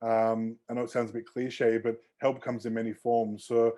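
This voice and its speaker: Rambling mellow-voiced man as interview guest. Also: mid-range voice, little pitch movement, normal speed. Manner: rambling, many fillers and restarts, friendly. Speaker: osteopath guest. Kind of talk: casual interview chat.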